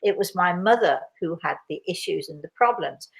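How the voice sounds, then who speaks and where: A middle-aged woman, a host with a croaky voice, chatting casually and rambling with many restarts as she answers viewer questions. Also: lowish voice, expressive, normal speed, rough recording. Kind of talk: casual live chat.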